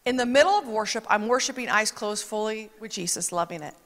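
A woman's voice: a little high, animated, a little fast, fairly bright tone. A woman preaching a conversational sermon, emphatic and chatty, with asides that quote other people. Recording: long reverb of a large hall.

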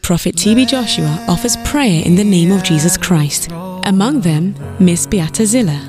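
A woman narrating a church program in a measured, formal announcer delivery. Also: fairly low pitch, lively intonation. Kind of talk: scripted narration. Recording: narration over loud music.

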